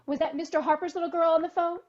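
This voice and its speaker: A woman acts a nosy male reporter in a radio drama. The sound is dull and narrow, like a phone call. The voice is high, with persistent, pushy questioning. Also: fairly even intonation, very fast, darkish tone. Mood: prying. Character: pushy, prying male newspaper reporter on the telephone. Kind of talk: old-time radio drama acting. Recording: dull, band-limited telephone-call sound.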